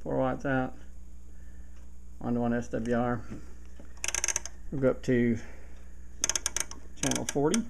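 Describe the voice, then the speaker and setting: A man narrates an informal hands-on demonstration in a creaky, gravelly voice at a middling pitch. He is recorded indoors at a home radio bench, with some hiss and radio noise behind him. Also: steady tone, slow, pauses often, darkish tone. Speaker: hobbyist demonstrating CB radio gear. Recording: noticeable background hiss and radio static.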